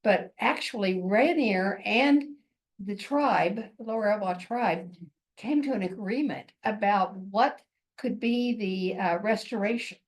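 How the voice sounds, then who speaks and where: A woman's voice: medium pitch, a little slow, poor audio. A woman giving an informal presentation at a community meeting, earnest and rambling, often correcting herself.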